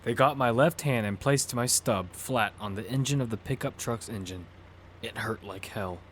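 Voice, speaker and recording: A man's voice: lowish voice, normal speed, a bit bright, slight background noise, natural voice. A man acting a weary, grieving widowed father in a survival audio drama. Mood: weary narration. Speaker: narrator and lead actor. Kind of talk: dramatic first-person audio fiction.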